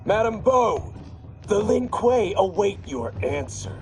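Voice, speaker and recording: An actor playing a menacing ninja enforcer in a video game, speaking in cold, deliberate threats. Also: male voice, high-pitched, sing-song, a little mellow, a little hiss or hum, exaggerated voice. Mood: cold menace. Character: menacing male Lin Kuei ninja enforcer demanding protection money. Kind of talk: video game cutscene acting.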